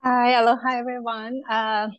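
A woman who teaches at a university, introducing herself on a video call in a measured way with frequent uhs. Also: on the high side, little pitch movement, clean tone, dry recording, highs cut off. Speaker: university lecturer.

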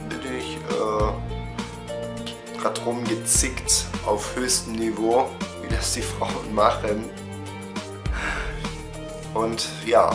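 A man's voice: mid-range voice, echoey room. Young man with a cold, webcam recap. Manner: chatty, many fillers, talks straight to camera. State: has a cold, voice sounds off. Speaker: fan channel host. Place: small room at home.

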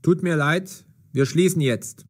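A man playing a brisk working man in an audio story, speaking in clearly enunciated lines. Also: a little high. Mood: grumpy. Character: taxi driver and cemetery guard, brisk adult man. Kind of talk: scripted audio story for language learners.

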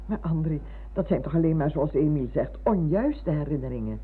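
A woman's voice: low voice, expressive, fairly quick, slightly rough. A woman playing an elderly, motherly and fussy former schoolmistress in a radio drama, hesitating, repeating words and exclaiming. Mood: wondering. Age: older. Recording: muffled phone-like old radio recording, humming noise.

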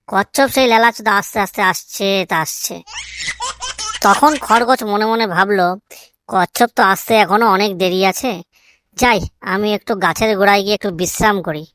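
A woman's voice: mid-range voice, moderate pace, a bit bright, a little hiss or hum, close to an everyday voice. A woman voicing a cheeky, crude village schoolboy in a comedy cartoon. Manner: cheeky, blunt excuse-making.

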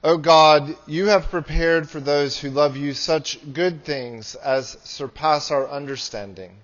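Slow, solemn male priest. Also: fairly high pitch, fairly bright tone, lo-fi sound. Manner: solemn, measured, deliberate phrasing. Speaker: priest leading a church service. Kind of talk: liturgical prayer and scripture reading. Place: small church with hard walls.

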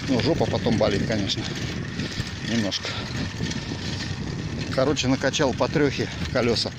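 A man rides a bicycle outdoors and talks into a handlebar-mounted phone. His voice sits at an ordinary pitch, and his delivery is loose and rambling, full of slang and fillers. Loud wind noise batters the microphone the whole way. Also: moderate pace. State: riding a bicycle while talking. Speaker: vlogger. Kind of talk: casual first-person ride commentary. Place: outdoors on a village road.

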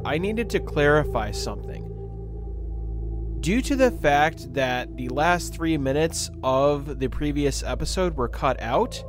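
A man speaking as a podcast editor, explaining something directly to listeners. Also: medium pitch. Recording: music behind the voice. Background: music.

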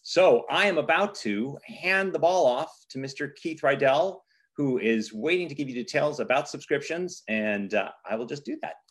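A man with a medium-pitched voice hosts an online event over a video call. He speaks informally, as an artistic director addressing colleagues and audience. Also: lively intonation, normal speed, husky.

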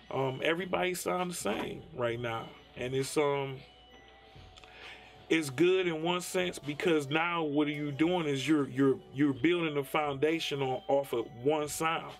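A man talks reflectively, pausing with fillers as he thinks. His voice sits a bit high. A faint beat plays behind him. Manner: thoughtful, hesitant. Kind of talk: documentary interview.

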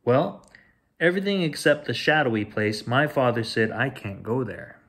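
A man voicing an eager, boastful young male lion cub in a storybook, bold and excitable. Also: mid-range voice, normal speed.

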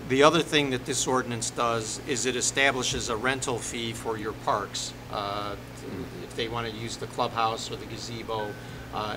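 A middle-aged man speaking haltingly as a village attorney, formally explaining ordinances at a board meeting. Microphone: desk microphone on the dais. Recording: noisy meeting room with ventilation rumble.

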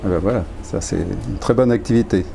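Male interviewer, warm and low-key, brief casual questions, faint background noise. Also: fairly quick, creaky. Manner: brief questions and short acknowledgments.

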